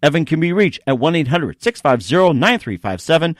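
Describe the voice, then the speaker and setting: Man, slightly high-pitched voice, talk show host, radio interview delivery, very dry sound. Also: big pitch swings, a little fast, no room sound. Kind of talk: radio interview with scripted sponsor reads.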